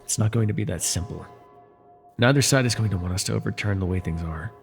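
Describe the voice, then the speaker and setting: A man playing a captured telepath supervillain, a sardonic and worldly former mentor, in an immersive audio roleplay, with a husky, gravelly voice and theatrical, wry delivery. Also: fairly low pitch, fast talker. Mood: exasperated, relenting. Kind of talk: immersive ASMR roleplay voice acting. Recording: long reverb, faint music behind.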